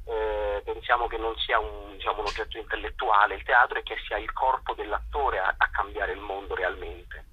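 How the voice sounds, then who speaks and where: A man's voice: on the low side, fairly even intonation, normal speed, hardly any pauses, no room sound. A man interviewed by phone as a theatre artist, explaining his work earnestly and with some hesitation. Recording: muffled, rough telephone line.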